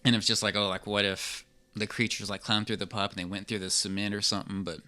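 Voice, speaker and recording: Bright-voiced man rambling casually, lowish pitch. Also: flat delivery. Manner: halting, rambling, hesitant. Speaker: podcast co-host. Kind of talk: casual chat.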